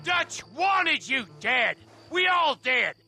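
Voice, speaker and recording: A man's voice: extremely high pitch, big pitch swings, very slow, vocal fry, pushed and bright, faint music behind, exaggerated voice. A man voicing a belligerent, coarse Western outlaw in a video game. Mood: bitter taunting. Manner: taunting, coarse. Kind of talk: video game drama acting.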